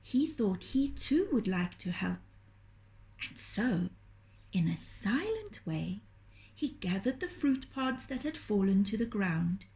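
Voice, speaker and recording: A woman reading a children's story aloud in a gentle, deliberate, expressive storytelling manner. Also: mid-range voice, gravelly. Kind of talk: children's storytelling, scripted narration.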